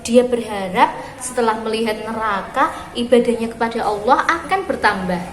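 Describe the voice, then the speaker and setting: Echoey higher-voiced woman reading a children's story. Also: normal speed. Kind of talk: children's read-aloud storytelling. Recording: roomy echo with clear background noise.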